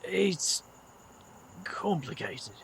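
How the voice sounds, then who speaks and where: A man playing a blunt, protective outsider and awkward mentor in an audio drama, halting but earnest. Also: high-pitched, very animated, gravelly, fairly bright tone, husky, exaggerated voice. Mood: gentle, earnest.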